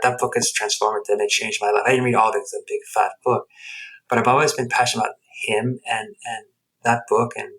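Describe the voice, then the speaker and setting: A man's voice: mid-range voice, a bit bright, low-quality recording. A man who grew up speaking Spanish and English, telling his story as a podcast guest in a reflective, rambling way with many restarts. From United States. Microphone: headset earphones.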